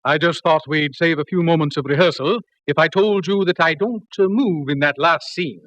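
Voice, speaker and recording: An actor playing a polite, slightly hesitant opera baritone in an old radio drama. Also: male voice, normal speed, fairly bright tone, highs cut off, close to an everyday voice.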